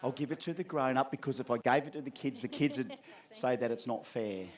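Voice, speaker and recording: A man's voice: medium pitch, fairly quick. An Australian man acting as MC at an outdoor event, handing out prizes with playful, teasing crowd banter.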